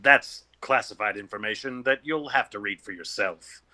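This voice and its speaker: A man's voice: lowish voice, normal speed, bright, forceful tone. A man acting a self-important, officious delivery messenger in a comedic play.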